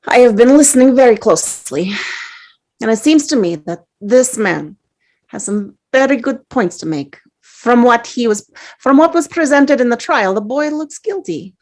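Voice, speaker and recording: A woman playing a polite, careful male juror who speaks in a deliberate, probing way at a dramatic table read. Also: fairly high pitch, animated, darkish tone, natural voice. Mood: thoughtful.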